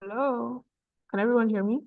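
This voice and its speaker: A woman moderating an online summit, introducing the next speaker in a measured, formal way. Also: on the high side, steady tone, no room sound, narrow, tinny audio. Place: online session.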